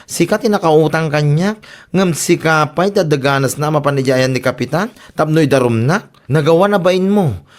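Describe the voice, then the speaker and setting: A woman's voice: low-pitched, normal speed, natural voice. A woman playing a blunt, scolding village neighbour. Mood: scolding, exasperated. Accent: Ilocano. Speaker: actor in an Ilocano comedy radio drama. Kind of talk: comedic radio drama acting.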